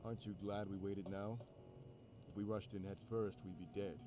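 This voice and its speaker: Low-voiced wary fantasy fighter played by a man. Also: fairly even intonation, normal speed, over music, natural voice. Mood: measured. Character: serious, wary male fantasy fighter, cautious and protective. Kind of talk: visual novel character acting. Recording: loud background noise and ambience.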